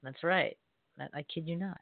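A chatty woman co-hosting a casual online talk show. Her voice sits a little low and comes through dry and thin, like a phone line. She laughs often and stumbles through restarts. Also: normal speed, vocal fry, dry recording. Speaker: co-host.

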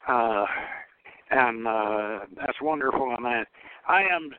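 An older man hosting a radio talk show, reading commentary and chatting in a halting, deliberate way with repeated words. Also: mid-range voice, expressive, smooth, no echo. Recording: tinny, phone-like internet radio line.

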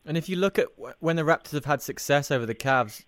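A man's voice: on the high side, quick pace, fairly bright tone, dry recording. Male sports podcast host, dry close sound. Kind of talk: conversational interviewing, long opinionated questions.

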